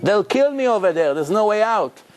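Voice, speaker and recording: A man's voice: high-pitched, big pitch swings, moderate pace, pitched above the performer's own voice. A man voicing an indignant Druze man with an Arabic accent who pleads with and scolds a crowd. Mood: pleading. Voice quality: loud, pleading, emphatic.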